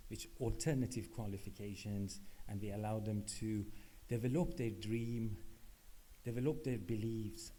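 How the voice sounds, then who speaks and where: A man's voice: on the low side, warm tone, low-quality recording. Man giving a conference welcome, faint hiss. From not from Scotland. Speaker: university professor introducing a speaker. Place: conference hall.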